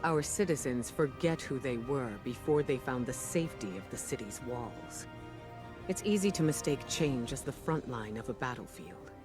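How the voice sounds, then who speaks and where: An actor playing a calm, resolute commander giving a grave, authoritative briefing in a game cutscene over music. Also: male voice, mid-range voice, moderate pace, close to an everyday voice. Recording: music behind the voice. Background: cinematic music.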